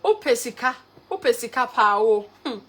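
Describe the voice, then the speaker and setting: Woman, Ghanaian accent, young adult, cooking livestream host, slightly high voice, chatty greetings. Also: animated, normal speed, husky. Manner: warm, repetitive greetings of viewers by name. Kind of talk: live cooking stream, greeting viewers.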